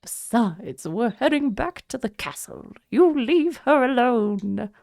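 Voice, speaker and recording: A woman's voice: on the high side, lively intonation, slow pace, creaky, a little mellow, no room sound, clean studio sound, heavily acted. Woman putting on a pompous, indignant male knight. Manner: haughty, archaic formal speech. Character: indignant pompous loyal knight, adult man.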